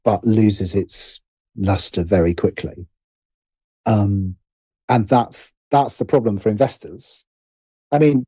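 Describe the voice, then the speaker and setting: Male interview guest, very soft dark tone, thoughtful stop-start conversational delivery, close dry sound. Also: on the low side, breathy edge, no echo. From United Kingdom. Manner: hesitant, repeats and rephrases phrases as he thinks. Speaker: guest, writer and producer.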